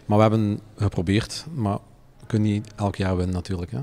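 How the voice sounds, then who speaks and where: A man's voice: lowish voice, little pitch movement, a little fast, a little mellow, no echo. A man in his forties with a Flemish accent, a restaurateur answering interview questions off the cuff. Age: 40s. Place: indoors in a plain room. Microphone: handheld reporter microphone.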